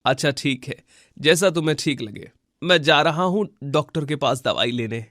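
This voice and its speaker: A man voicing a poor, humble village labourer husband in a cartoon story, earnest and gentle. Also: a little high, normal speed, dry recording, studio quality, lightly acted. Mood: apologetic, worried. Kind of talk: Hindi cartoon moral-story dubbing.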